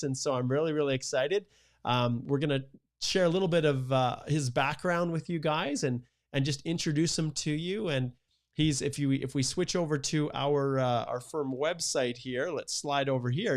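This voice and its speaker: A man at an ordinary middle pitch hosts a live immigration Q&A stream. He chats casually, greets viewers by reading out their comments, and fills his pauses with plenty of "uh". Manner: chatty, many "uh" fillers, reads out viewer comments. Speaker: immigration lawyer, stream host.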